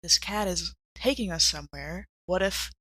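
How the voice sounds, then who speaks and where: A woman's voice: fairly low pitch, normal speed, pushed and bright, husky, no room sound, rough recording. A woman playing in a tabletop game podcast, chatting casually and in character.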